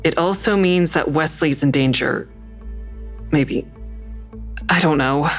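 A woman's voice: low-pitched, takes it slowly. A woman playing a troubled, defiant suspect who narrates her own story in an audio drama, pointed and anxious. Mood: guarded narrating. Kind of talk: first-person audio drama narration and dialogue. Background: music.

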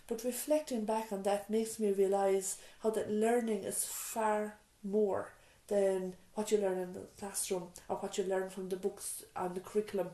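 Woman, middle-aged, mellow voice, reflective storytelling, restarts, echoey small room. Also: mid-range voice, little pitch movement, normal speed, hardly any pauses. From Ireland. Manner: warm storytelling, frequent restarts and "you know". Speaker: island nurse and mother of four, interviewee. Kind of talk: reflective interview answers. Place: island nurse's surgery, small room.

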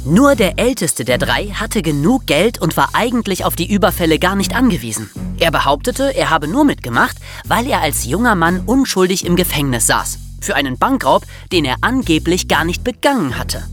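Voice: medium pitch, very animated, moderate pace, fairly bright tone, soft background music. A podcast co-host, switching between true-crime narration and banter.

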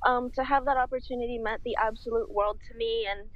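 A woman in her twenties, a pro tennis player, giving measured, unhurried interview answers with many fillers. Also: a little high, steady tone, highs cut off. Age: 20s.

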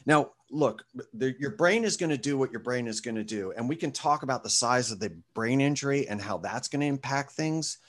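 A man with a voice sitting in the middle of his range gives an interview answer. He tells his points as lively stories, speaking directly to a group of listeners. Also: medium pitch, fairly quick, hardly any pauses. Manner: lively storytelling, talks to a group. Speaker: guest author.

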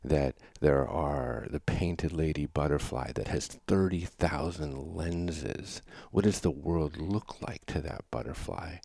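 A man's voice: very deep voice, a little mellow, no room sound. A man hosting a podcast, talking thoughtfully in a solo reflection and asking rhetorical questions. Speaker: podcast host.

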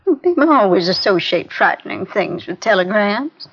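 A woman's voice: mid-range voice, big pitch swings, moderate pace, breathy edge. An actress playing a fussy, worried older mother in a 1950s radio drama, fretful and chatty. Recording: muffled 1950s radio broadcast.

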